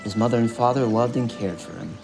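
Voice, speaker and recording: A man's voice: mid-range voice, fairly quick. A man narrating a scripted story for an audio drama. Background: music.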